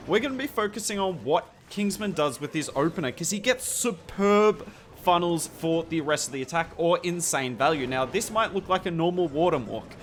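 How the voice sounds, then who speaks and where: A man commentating a strategy game over footage, enthusiastic and conversational, with a slightly hoarse voice. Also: on the high side, a bit bright, a little hiss or hum. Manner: enthusiastic, conversational, points things out to viewers. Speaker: gameplay commentator.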